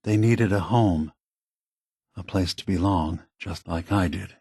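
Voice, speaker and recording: A man voicing a calm, remorseful old synthetic android leader in a video game, speaking in a measured, grave, deliberate way. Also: low voice, husky, no echo, clean studio sound, full character voice. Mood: measured, concerned.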